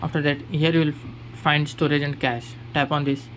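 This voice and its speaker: A man presents a phone how-to tutorial in voice-over. His voice sits a little higher than usual for a man. He gives simple step-by-step directions to his viewers, and background noise hums under the recording. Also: level tone, normal speed, fairly bright tone. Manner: plain step-by-step instruction, addressing viewers as guys. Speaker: tech tutorial host. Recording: clearly noisy background hum.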